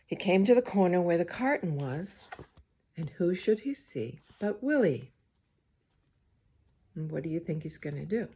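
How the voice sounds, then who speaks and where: A woman reading a picture book aloud to young children, expressive and gently pausing to speak to her listeners. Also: lowish voice, breathy edge. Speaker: storybook reader, school well-being coordinator.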